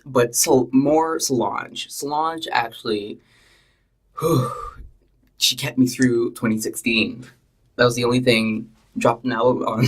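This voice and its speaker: A young man with a quiet voice, guesting on a casual podcast, playful and joking, laughing often, in a small booth. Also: medium pitch, far from the mic. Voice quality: quiet, husky.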